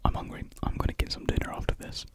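A young British man whispering a casual, hesitant ASMR ramble in a breathy voice, very close to a desktop microphone. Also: quick pace. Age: young adult. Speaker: ASMR video creator. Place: small indoor room at home. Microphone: large USB desktop mic, very close.

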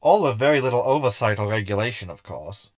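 A man's voice: on the low side, animated, fast talker, no echo, studio quality, lightly acted. A man playing a sarcastic, cranky robot crewmate in a sci-fi comedy audio drama, wordy and mocking. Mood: dry, curious.

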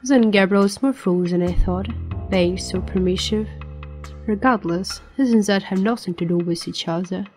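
Woman acting a stern, commanding mother, medium pitch. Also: normal speed, a little mellow, natural voice. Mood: disapproving. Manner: commanding, formal. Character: stern, controlling, proud mother of a teenager. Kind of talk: animated comic dub acting. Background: faint music.

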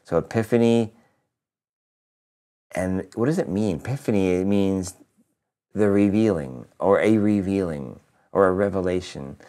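A man's voice: deep voice, unhurried, long pauses, warm tone. A priest in his fifties, sounding a little nasal from a cold, talks through a weekly video reflection in a chatty, upbeat way with fillers and restarts, recorded close to a camera in his living room. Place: living room with a Christmas tree, indoors.

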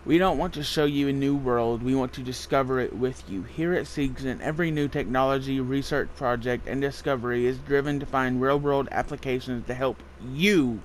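A man's voice: mid-range voice, steady tone, normal speed, few pauses, a little hiss or hum, rough recording. A man hosting a gaming video with casual playthrough commentary, sometimes reading text aloud.

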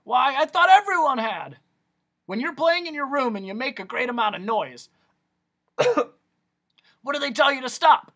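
A man's voice: very high voice, expressive, a little slow, pauses often, pushed and bright, pitched above the performer's own voice, exaggerated voice. A man voicing Doctor Discord, a fussy, eccentric male doctor who lectures theatrically. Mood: quizzing.

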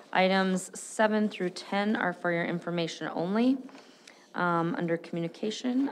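A woman chairing a school board meeting, brisk and procedural, on a desk microphone in a boardroom. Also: fairly low pitch, slight background noise.

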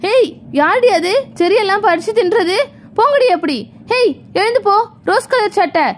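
Cranky, threatening grandmother for children's toy play, extremely high-pitched, acted by a woman. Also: expressive, moderate pace. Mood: angry scolding. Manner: scolding, threatening. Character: cranky scolding old granny guarding her cherry tree.

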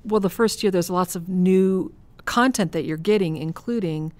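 A woman's voice: lowish voice, moderate pace. An American woman hosting a podcast, explaining details conversationally in a matter-of-fact, clarifying way. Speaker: podcast host.

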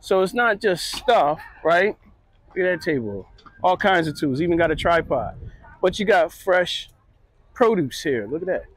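A middle-aged man gives relaxed, chatty walking commentary at an outdoor flea market, recorded on a handheld phone. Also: on the high side, a little slow, no echo. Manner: relaxed, chatty, pointing things out. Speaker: vlogger. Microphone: handheld phone camera.

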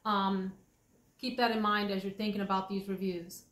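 A woman's voice: mid-range voice, flat delivery, a bit bright. A woman hosting a casual perfume review, talking in a chatty, thoughtful way. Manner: chatty, thoughtful, drawn-out pronunciations. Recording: echoey room.

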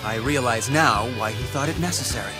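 A man plays a shrewd young strategist of ancient China in a game cutscene. He has a medium-pitched voice and a formal, measured, philosophical delivery. Music is very prominent behind him. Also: close to an everyday voice. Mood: courteous. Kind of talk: dramatic video game dubbing.

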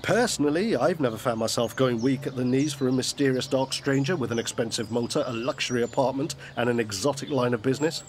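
An actor playing a dry, teasing confidant in a radio soap, droll and tongue-in-cheek. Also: male voice, medium pitch, fast talker, hardly any pauses, slight background noise, close to an everyday voice. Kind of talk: radio soap opera acting. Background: faint ambience and birdsong.

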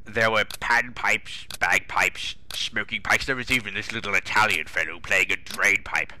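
A man's voice: fairly low pitch, fairly even intonation, normal speed, bright, forceful tone. A man voicing a pompous, exasperated older railway boss with a British accent, grumbling indignantly in a comic dramatic reading. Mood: irritated.

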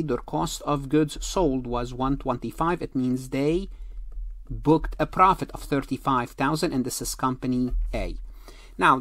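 A man's voice: fairly high pitch, dry recording. A man giving an explanatory exam-prep lecture as an accounting instructor, emphatic and repeating phrases for clarity, on a close mic.

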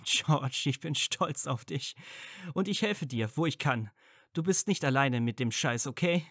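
A man's voice: medium pitch, animated, measured pace, fairly bright tone, no echo, studio quality. A man playing an earnest, loyal, easily flustered young wizard friend in an audio drama. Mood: eager, supportive. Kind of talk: fan fiction audio drama dialogue.

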